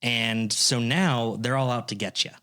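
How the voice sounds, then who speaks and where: A man in his twenties co-hosting a podcast, teasing his co-host in casual banter and often breaking into high laughter. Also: fairly even intonation, measured pace, pushed and bright, clean tone. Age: 20s.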